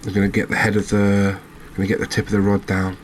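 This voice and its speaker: Deep voiced guy doing chill asmr game commentary, barely varies his tone, bit of background noise. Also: monotone, fast. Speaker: gaming video host.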